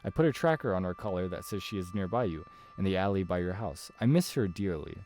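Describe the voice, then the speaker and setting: A man's voice: sits low, animated, quick pace, darkish tone. A man voicing an eager, kindly young girl who loves animals, in a visual novel read-aloud. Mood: sweet, confiding.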